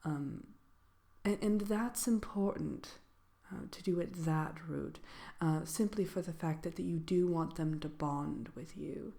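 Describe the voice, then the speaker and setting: A woman playing a genteel sorceress presenting a seminar in a soft-spoken ASMR roleplay, speaking in a hesitant, gently formal, measured way. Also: low-pitched, gravelly. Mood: calm, instructive. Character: genteel female fairytale sorceress lecturing on magical companion animals.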